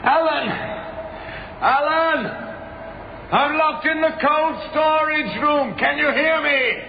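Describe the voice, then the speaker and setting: An actor playing a trapped man in a radio drama, desperately yelling for help. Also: extremely high pitch, slow, creaky, exaggerated voice. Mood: desperate shouting. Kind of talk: radio drama acting, calling out. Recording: echoey, muffled radio drama, some hiss.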